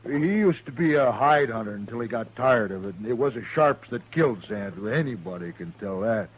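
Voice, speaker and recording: An actor playing a grumbling, insistent frontier hide dealer in a radio western, with a folksy rural American accent. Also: male voice, mid-range voice, lively intonation, a little fast, breathy edge. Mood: accusing. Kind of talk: 1950s radio western acting. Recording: lightly noisy old radio recording.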